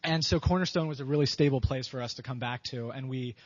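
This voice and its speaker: A man from Pennsylvania answering interview questions about his wife in a relaxed, halting way, with many fillers. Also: mid-range voice. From Pennsylvania, USA. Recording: dull lo-fi sound.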